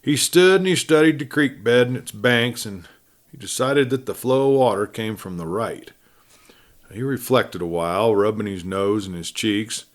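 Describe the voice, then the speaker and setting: A man narrating a classic adventure story in a measured storytelling way, with pauses and phrases that fall away at the end. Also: fairly low pitch. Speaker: audiobook narrator and host.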